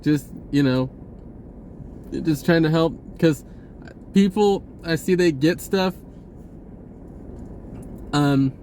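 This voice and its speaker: A man talking casually in a rambling spiritual monologue, halting and often interrupting himself, in an informal way. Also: fairly high pitch, darkish tone, slight background noise. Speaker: spirituality vlogger.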